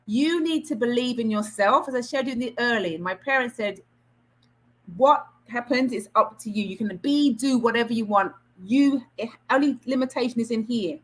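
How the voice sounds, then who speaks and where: A middle-aged Trinidadian woman coaching an online audience on careers. Her voice sits fairly high, and her manner is earnest and encouraging. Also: moderate pace, low-quality recording. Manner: encouraging, earnest advice-giving. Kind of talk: online Q&A session.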